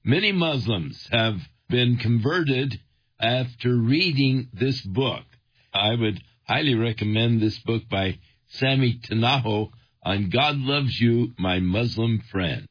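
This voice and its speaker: Slow, husky male radio host. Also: medium pitch, lively intonation, dull audio. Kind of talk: casual on-air recommendation.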